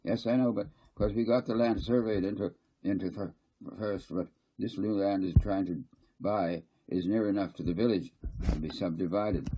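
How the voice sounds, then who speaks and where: A man's voice: on the low side, fairly even intonation, normal speed, mellow, husky, voice pushed up, poor audio. A man voicing a worried, brassy land developer in a storybook, grave and grumbling.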